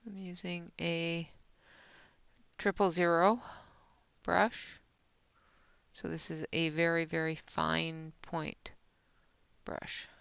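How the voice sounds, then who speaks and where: A woman's voice: fairly low pitch, little pitch movement, slow pace, gravelly, long pauses, fairly bright tone, clear, resonant tone, dry recording. A woman hosting a casual live coloring tutorial, chatting with viewers and talking through each step, sounding stuffy and sniffly with a sinus infection. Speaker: livestream art host. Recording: dull lo-fi, dry sound.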